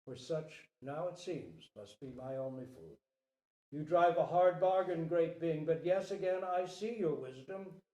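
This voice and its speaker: A man's voice: on the high side, expressive, unhurried, warm tone. A man voicing a majestic human king, proud but merciful, in a formal, declamatory storybook reading. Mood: proclaiming. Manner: grand, declamatory, formal decrees. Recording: roomy echo, distant microphone.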